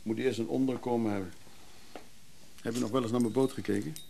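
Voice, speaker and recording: A man's voice: medium pitch, fairly even intonation, quick pace, creaky, dark tone, slight background noise, lightly acted. An actor playing a bitter, needy, down-on-his-luck brother in a radio drama, reproachful and pleading. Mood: needy, sullen.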